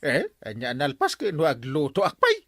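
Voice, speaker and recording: Man acting an excitable, swooping Ilocano village captain. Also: on the high side, normal speed, no room sound, clean studio sound, exaggerated voice. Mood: grumbling. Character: excitable, grumbling male village captain, lazy and exasperated. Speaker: lead actor in a comedy drama. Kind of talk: Filipino radio-style comedy drama acting.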